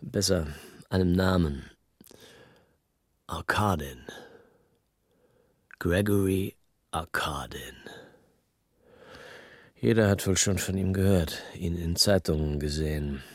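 An actor playing a restless small-time crook who narrates a noir radio drama in terse phrases with a husky voice. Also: male voice, sits low, slow pace, pauses often, no room sound, clean studio sound. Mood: brooding. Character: hard-boiled small-time male crook recounting his past, restless. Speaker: narrator and lead.

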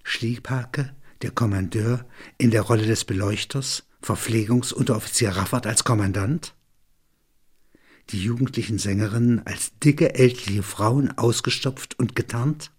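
A man's voice: mid-range voice, a little slow, a little mellow, breathy edge. A man narrating in a literary register, as a reader and commentator.

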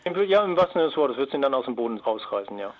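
A man's voice: medium pitch, very animated, quick pace. A man explaining things as an expert guest in a phone interview. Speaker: expert guest, submarine engineer and former pilot. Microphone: telephone. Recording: dull band-limited phone line.

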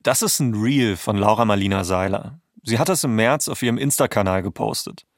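Podcast-Erzähler mit knarriger Stimme. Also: male voice, lowish voice, brisk, no echo, clean studio sound. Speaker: podcast host and narrator. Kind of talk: scripted documentary podcast narration.

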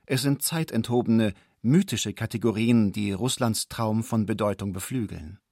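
A man's voice: on the low side, normal speed, darkish tone, no echo, studio quality. A man reading scripted German-market documentary narration as the narrator.

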